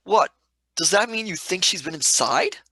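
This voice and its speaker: A woman playing a blunt, excitable companion in a sci-fi radio serial, with a husky voice and exclamatory delivery. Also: fairly low pitch, moderate pace, no echo. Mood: sceptical. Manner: exclamatory, plain-spoken British slang.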